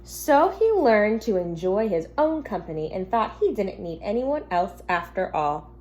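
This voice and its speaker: A woman teacher speaking gently and simply to young children, reading a picture book aloud at home with casual asides. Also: mid-range voice, sing-song, measured pace. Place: at home in an apartment. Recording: noticeable background noise, home recording.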